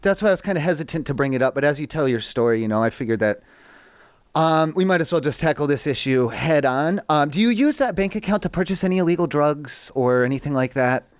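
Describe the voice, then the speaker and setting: A man playing an evasive, absurdly earnest customer service agent in a prank call, halting, deadpan and pedantic. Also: fairly high pitch, no echo, close to an everyday voice. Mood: awkward, hesitant. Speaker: prank caller posing as a complaints phone agent. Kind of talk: improvised prank phone call. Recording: tinny, band-limited phone-show audio.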